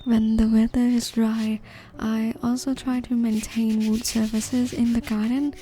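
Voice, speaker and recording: A woman from northeastern Thailand, gently narrating a gardening video in a measured, explanatory way. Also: fairly high pitch, flat delivery, low-quality recording. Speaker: gardening vlogger. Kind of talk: calm scripted voice-over narration.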